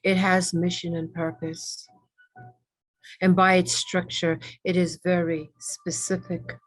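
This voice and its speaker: A woman leading a guided meditation with ASMR elements, speaking reverently in short, measured phrases with pauses between them. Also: fairly low pitch, level tone, faint music behind, rough recording.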